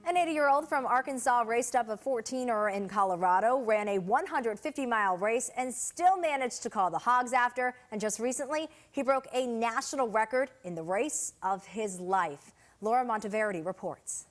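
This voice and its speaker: A woman presenting upbeat news stories in a clear, scripted anchor delivery. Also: on the high side, lively intonation, normal speed, few pauses.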